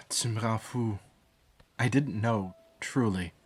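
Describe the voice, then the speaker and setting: A man playing a regretful, pleading but proud man in an audio drama, with a soft, contrite delivery. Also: lowish voice, very slow, breathy edge, full character voice. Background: music.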